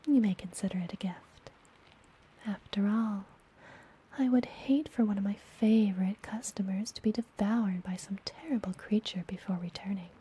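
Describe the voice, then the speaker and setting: A woman playing a friendly, mysterious witch and healer in an ASMR fantasy roleplay, whispering softly and gently with a teasing manner. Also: mid-range voice, slight background noise, natural voice. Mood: soothing, teasing. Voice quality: soft, breathy whisper. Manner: gentle, slow, playfully teasing.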